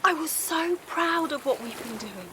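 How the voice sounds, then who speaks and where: High-pitched, indignant, outspoken woman activist. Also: lively intonation, normal speed, bright, forceful tone, a little hiss or hum. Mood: angry, hurt. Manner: challenging, pointed questioning. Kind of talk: naturalistic audio drama acting.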